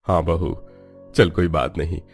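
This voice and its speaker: A man's voice: low-pitched, fairly even intonation, measured pace, husky, soft background music, voice pushed down, heavily acted. A man voicing an easygoing middle-aged father-in-law in a cartoon, reassuring in manner.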